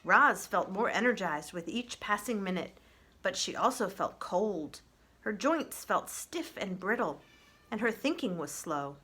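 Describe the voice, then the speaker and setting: A woman reading a children's novel aloud in an expressive, measured, gentle storytelling style, softening at phrase ends. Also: mid-range voice, husky.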